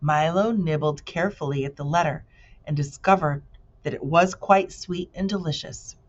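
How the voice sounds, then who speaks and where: Woman teacher doing an expressive read-aloud of children's fiction, lowish register, lo-fi recording. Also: normal speed. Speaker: teacher reading aloud to pupils. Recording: dull lo-fi recording.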